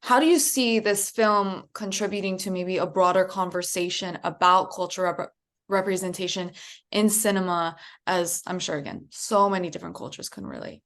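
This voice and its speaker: A woman's voice: lowish voice, steady tone, normal speed, fairly bright tone. A woman interviewer asking questions in an enthusiastic, upbeat way.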